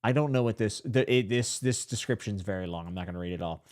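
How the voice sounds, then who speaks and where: Male podcast host, slightly low voice, loose self-deprecating chatter with frequent laughs. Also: quick pace. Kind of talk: casual livestream chat.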